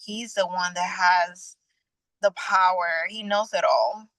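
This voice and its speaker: A young adult woman from Venezuela, a guest sharing her personal faith testimony in an earnest, halting way with frequent restarts. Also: fairly low pitch, steady tone, fairly bright tone, no echo, low-quality recording.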